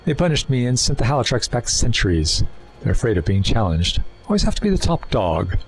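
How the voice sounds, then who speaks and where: An actor in an audio drama playing a suave, erudite renegade Time Lord villain, wordy, condescending and persuasive. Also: male voice, mid-range voice, very animated, brisk, slight background noise, rough recording. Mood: persuasive, scornful. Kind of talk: full-cast audio drama acting.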